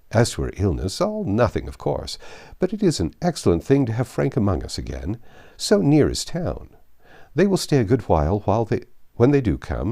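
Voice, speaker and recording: A man voicing a cheerful, talkative middle-aged gentleman in an audiobook, hearty, eager and insistent. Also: lowish voice, full character voice. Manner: hearty, insistent, full of tag questions. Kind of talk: audiobook character dialogue.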